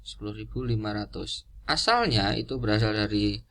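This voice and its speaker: A man's voice: fairly low pitch, moderate pace, creaky, bright, forceful tone. A man giving an unscripted lesson, explaining step by step in a didactic way and repeating key figures. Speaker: accounting lecturer.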